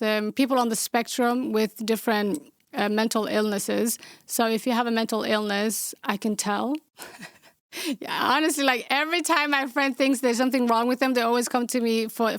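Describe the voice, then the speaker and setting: A young woman with a Somali accent and a fairly high voice hosts a casual question-and-answer podcast. She talks bluntly and outspokenly, tossing in dry sarcastic asides. The sound is close and dry. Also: smooth, dry recording. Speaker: podcast host.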